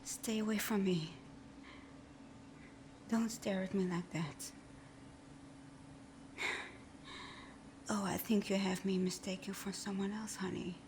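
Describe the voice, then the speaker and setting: A woman playing a supervillain thief whose teasing bravado hides a timid, wounded heart, in a breathy, often whispered, intimate ASMR roleplay style. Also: mid-range voice, steady tone, slow pace, long pauses, darkish tone, a little hiss or hum. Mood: guarded, flustered. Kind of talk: ASMR roleplay audio drama.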